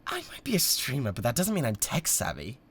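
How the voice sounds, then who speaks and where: A man plays an unhinged British male livestreamer with an obsessive streak. His pitch sits in the middle and leaps around wildly. He addresses his viewers with bratty, theatrical defensiveness, stumbling over his words as in an audio drama. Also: wildly swooping pitch, a little fast, husky. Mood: flippant, defensive.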